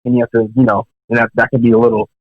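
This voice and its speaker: Animated male storyteller, muffled dry audio. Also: medium pitch, very fast, no echo. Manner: animated, lots of "you know" fillers and restarts. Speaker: guest drummer. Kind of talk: casual storytelling in an interview.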